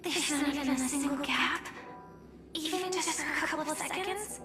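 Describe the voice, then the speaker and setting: A woman playing a gentle, determined young female warrior in a video game, earnest and soft-spoken. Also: sits high, moderate pace, music in the background, natural voice. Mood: determined, planning.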